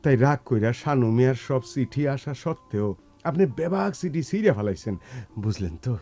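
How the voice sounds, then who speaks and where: An actor playing an excitable, doting older brother from a village, blustering and rambling in a rural eastern Bengali accent in a radio play. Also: male voice, mid-range voice, a little fast. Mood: subdued pleading. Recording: music behind, radio drama production.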